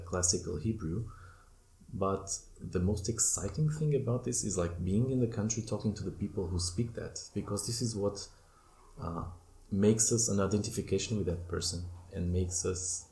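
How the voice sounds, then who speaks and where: A middle-aged man, a guest answering interview questions in a reflective, measured way with pauses and fillers. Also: low-pitched, gravelly, mellow, roomy sound. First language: Portuguese. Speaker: podcast guest, former German teacher.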